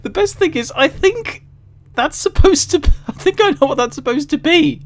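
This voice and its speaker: A man's voice: very high-pitched, animated, normal speed. A man casually reading aloud on a livestream and commenting, easily amused and giggly. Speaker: streamer.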